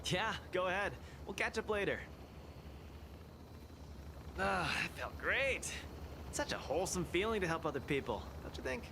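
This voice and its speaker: An actor playing a cheerful, cocky young fighter in a video game, exuberant, boastful and playful. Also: male voice, high-pitched, very animated, normal speed, long pauses, background noise. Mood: pleased, proud. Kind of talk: video game character acting.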